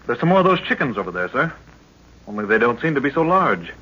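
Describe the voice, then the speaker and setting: A man's voice: medium pitch, very animated, fast, bright, forceful tone, husky, full character voice. A man in an old radio drama playing a respectful, cautious young adventurer with a questioning manner. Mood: curious, sceptical. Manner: deferential, questioning. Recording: muffled, noisy old radio recording.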